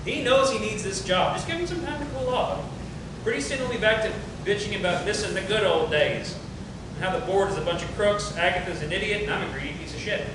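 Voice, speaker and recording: A man's voice: a little fast, natural voice. A man playing a cocky, self-justifying company dealmaker with a casual, sardonic, profane way of talking, in a play's table reading. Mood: dismissive, confident. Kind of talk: dramatic table reading of a stage play. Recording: very echoey room, noisy rumble.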